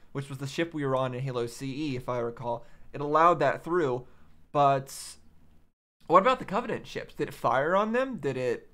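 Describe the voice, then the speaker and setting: A man's voice: mid-range voice, fairly bright tone. A man hosting a reaction video, commenting casually and hesitantly as he thinks aloud. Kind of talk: casual commentary on game cutscenes.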